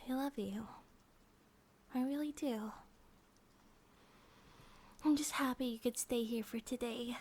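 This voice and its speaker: A woman voicing a gentle, affectionate monster-girl bear wife in a soft ASMR comfort roleplay, often dropping to a whisper. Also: fairly high pitch, slow pace, pauses often, breathy edge. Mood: soothing, loving.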